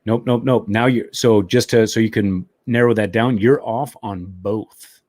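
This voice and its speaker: A man's voice: lowish voice, fairly even intonation, a little slow, a little mellow, no room sound. A man hosting a motorcycle live stream from home, chatting casually and easygoing, with fillers. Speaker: motovlog live stream host.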